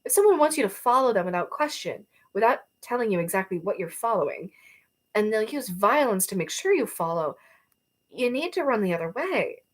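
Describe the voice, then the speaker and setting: A woman's voice: mid-range voice, sing-song, brisk, voice pushed up. A woman voicing a defiant, urgent young female rebel soldier in a novel reading, blunt and emphatic. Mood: urgent, insistent.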